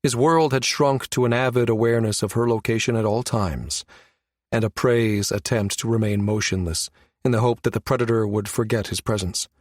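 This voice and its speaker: A man's voice: on the low side, moderate pace, no room sound, studio quality. A man reading scripted science fiction narration for an audiobook.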